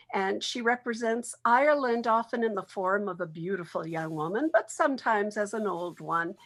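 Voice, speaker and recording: A woman's voice: fairly high pitch, a bit bright. A woman speaking as a literary expert in an interview, explaining her subject at length.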